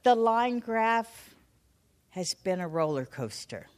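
Slow, expressive older woman journalist. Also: on the high side. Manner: slow, deliberate, dramatic emphasis. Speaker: veteran broadcast journalist, panelist. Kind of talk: panel talk. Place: conference hall.

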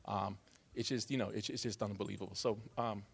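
Male moderator, quick earnest delivery, occasional stumbles, conference panel opener. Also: lowish voice, steady tone, creaky, husky. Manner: earnest, some restarts and stumbles. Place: conference hall.

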